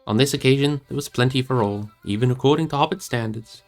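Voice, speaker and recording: A man narrates a fantasy novel in a mid-pitched voice, with music playing behind him. His delivery is expressive storybook reading. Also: quick pace. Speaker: storybook reader, streamer.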